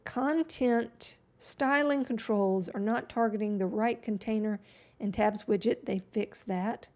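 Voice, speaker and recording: A woman hosting a casual web design livestream, chatting folksily as she walks through each step on screen, her voice going hoarse. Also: a little high, unhurried, darkish tone. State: losing her voice. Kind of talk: casual screen-share tutorial chat.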